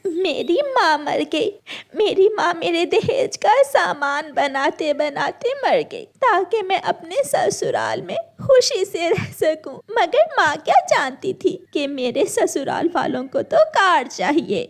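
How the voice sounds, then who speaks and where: Lady doing a sweet meek cartoon bride bird, super high voice, pleading and very sing-song. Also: sing-song, slow pace, full character voice. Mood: grieving. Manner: polite, plaintive.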